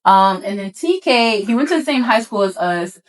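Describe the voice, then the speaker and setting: A young woman co-hosting a casual podcast, talking in a relaxed, rambling way with plenty of fillers. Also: a little high, moderate pace. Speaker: podcast co-host. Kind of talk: casual chat.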